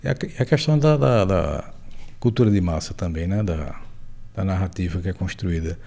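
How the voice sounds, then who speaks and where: A man with a Brazilian Portuguese accent who hosts the interview, asking his questions haltingly and hedging them. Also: low-pitched, creaky, dark tone. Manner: halting, hedges questions with "digamos assim". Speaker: interviewer, videocast host.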